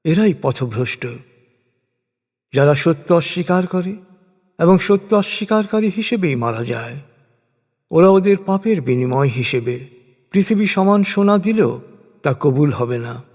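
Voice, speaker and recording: A man reading a scripture translation as a solemn, formal scripted narration, with measured and deliberate phrasing. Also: fairly high pitch, animated, darkish tone. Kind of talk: solemn scripted religious recitation in prose. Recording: long hall-like reverb.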